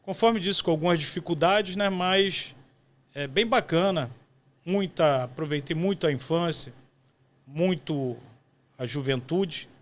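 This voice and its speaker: A man's voice: fairly high pitch, a little slow. A man in his fifties with a Brazilian accent from Rio de Janeiro, answering in a conversational interview style as a podcast guest. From West Zone of Rio de Janeiro, Brazil. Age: 50s. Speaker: podcast guest, postal union director.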